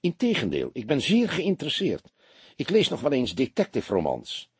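A man voicing a wealthy, smooth businessman in an audiobook, formal and exclamatory in manner. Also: mid-range voice, huge pitch swings, moderate pace, dry recording, voice pushed up, heavily acted. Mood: affable, curious. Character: wealthy smooth businessman, theatrically distraught, then affably condescending. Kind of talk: audiobook character voice.

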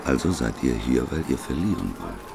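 A man's voice: extremely low pitch, big pitch swings, moderate pace, gravelly, over music, exaggerated voice. A man acting an enigmatic, stubborn prophet in a radio play, speaking laconically and in riddles. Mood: laconic, probing. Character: enigmatic prophet, calm stubborn man who gambles with paradoxes.

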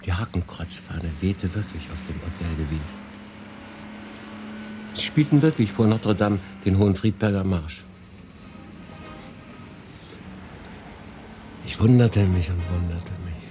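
A man's voice: low-pitched, normal speed, natural voice. An actor playing a weary, reflective refugee who looks back and narrates his story in a brooding, confiding way in a radio play. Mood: haunted, reflective. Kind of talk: dramatic first-person narration in a radio play. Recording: music behind the voice.

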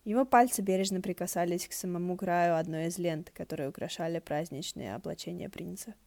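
A woman reading a story aloud as an expressive audiobook narrator. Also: on the low side, fairly quick, vocal fry, no room sound. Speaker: audiobook reader.

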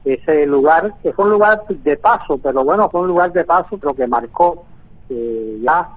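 A man in his sixties, born in Venezuela, speaking by phone from Havana in a measured way with frequent hesitations. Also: a little high, animated, dry recording. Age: 60s. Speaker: guest. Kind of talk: phone interview. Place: in Havana, Cuba. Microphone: telephone. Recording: tinny phone line.

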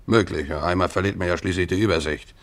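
An actor in a German radio play voicing a gruff, hard-drinking sea captain who blusters and dodges marriage. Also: male voice, low-pitched, steady tone, fast talker, close to an everyday voice. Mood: dismissive. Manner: blustering, nautical swagger with muttered asides. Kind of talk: German radio play acting, narrating and dialogue.

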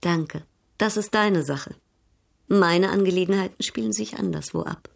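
An actress playing a worldly, proud baroness in a German radio drama, speaking with polished irony. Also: female voice, lowish voice, moderate pace, vocal fry. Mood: weary, dismissive.

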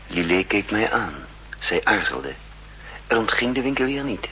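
A suave and wily spy in a 1960s radio drama. His low voice swings widely in melody with a theatrical nonchalance, and it sounds bright over a faintly humming old recording. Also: big pitch swings, moderate pace, slight background noise, poor audio. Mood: narrating, watchful. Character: suave, wily male spy posing as rich honeymooner. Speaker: actor and narrator.

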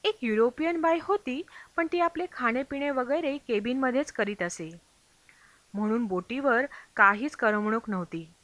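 A woman's voice: fairly high pitch, normal speed, fairly bright tone. A woman reads a travel memoir as an audiobook. She narrates from a script, and the sound is very dry, with no room echo. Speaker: audiobook reader.

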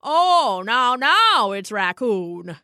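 A woman voicing a pompous, theatrical male raccoon from a storybook, with florid, drawn-out exclamations. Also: a little high, big pitch swings, bright, forceful tone, no room sound, clean studio sound, heavily acted. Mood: indignant. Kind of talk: storybook character voice.

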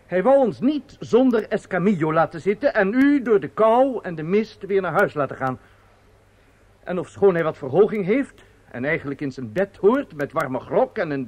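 Flustered, pompous impresario, swooping high. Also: male voice, no echo. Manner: hesitant and muttering offstage, pompous in speeches. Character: flustered, self-satisfied male opera impresario. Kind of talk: radio drama acting.

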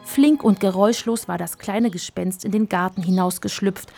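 A woman performing as a calm, measured narrator of a children's radio play. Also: medium pitch, vocal fry, faint music behind. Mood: calm, narrating. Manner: measured, storytelling. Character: storybook narrator, calm and sympathetic. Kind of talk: scripted children's story narration.

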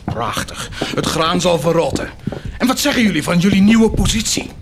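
An actor playing an impatient, fervent nobleman in a radio drama, commanding and insistent, shifting abruptly between soft and forceful. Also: sits high, animated, normal speed, background noise.

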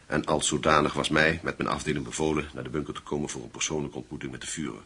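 Grave German investigator, extremely low voice, very raspy, radio play. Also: male voice, level tone, fast, bright, forceful tone, exaggerated voice. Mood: grave, reflective. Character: grave German man investigating a wartime secret. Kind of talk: radio drama acting.

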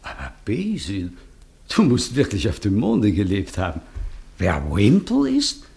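An actor playing a gushing, fad-loving aristocratic host in a German radio play, effusive and grandiose with small hesitations. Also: male voice, on the low side, huge pitch swings, measured pace, creaky, a little mellow, exaggerated voice. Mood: awed, gushing.

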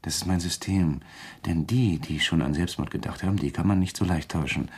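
A fanatical murderer in a radio thriller. His voice sits low and is rough with huskiness. He speaks deliberately and insistently, repeating himself like a cool, menacing lecturer convinced of his mission. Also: darkish tone. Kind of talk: German radio crime drama acting.

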